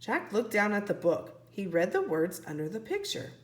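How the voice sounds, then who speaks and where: A woman's voice: medium pitch, sing-song, normal speed, reverberant. A woman teacher reading a children's storybook aloud expressively, putting questions to young listeners. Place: indoor room, book held on camera.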